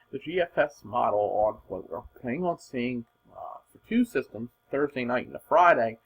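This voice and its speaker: A man with a husky, rough-edged voice talks through a weather forecast off the cuff. His pitch moves around a great deal as he stresses his points. He sounds like an informal forecaster, emphatic and given to repeating himself. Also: lo-fi sound. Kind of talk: informal weather model commentary, unscripted.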